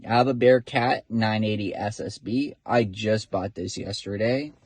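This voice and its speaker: A man's voice: lowish voice, measured pace, a little mellow, husky, dry recording. A man talking casually from inside his car in his first vlog, rambling with lots of fillers and restarts. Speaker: vlogger, CB radio hobbyist.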